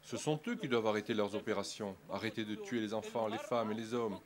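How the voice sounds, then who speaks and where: A man's voice: on the low side, fast talker. A man giving an impassioned, indignant testimony outdoors in a busy street. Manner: indignant, pleading. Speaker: interviewee. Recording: outdoor street recording with crowd. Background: crowd noise.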